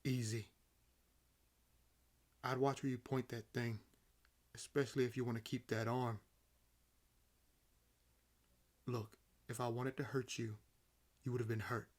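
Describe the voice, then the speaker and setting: A man playing a sardonic, freshly awakened vampire in a roleplay audio drama, talking casually and haltingly. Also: mid-range voice, flat delivery, lightly acted. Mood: commanding, calm.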